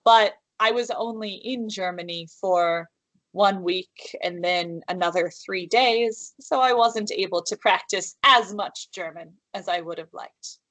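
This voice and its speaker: A woman from the United States, an English teacher, speaks slowly and deliberately over a video call. Her pitch sits in the middle range, and the sound is dry and close. She phrases things carefully, often restarting and restating. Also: fairly bright tone, no echo. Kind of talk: interview answers. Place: room at home with calligraphy on the wall.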